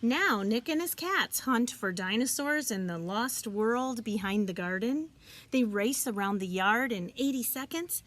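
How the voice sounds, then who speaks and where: A woman reading a children's picture book aloud, expressively and deliberately, as a teacher would for young listeners. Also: fairly high pitch, expressive, fairly bright tone. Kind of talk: children's storybook read-aloud.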